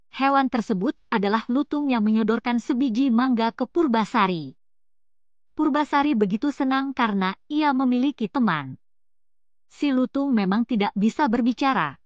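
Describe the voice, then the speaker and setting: High-voiced woman telling a folktale. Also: no echo, highs cut off. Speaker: storyteller, narrator. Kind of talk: scripted folktale narration.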